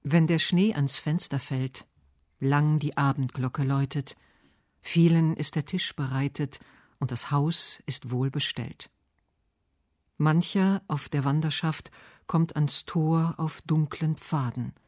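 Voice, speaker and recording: A man reading scripted literary narration and verse for radio, measured and articulate. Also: fairly high pitch, darkish tone, no echo, clean studio sound. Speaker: radio essay narrator and poetry reader.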